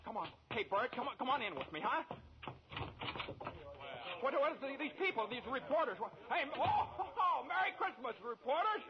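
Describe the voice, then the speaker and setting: An excitable American family man, very high-pitched and swooping widely. He stammers and repeats himself urgently, in vintage radio drama style on old equipment. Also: normal speed, background noise. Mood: elated, giddy. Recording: muffled old-time radio recording.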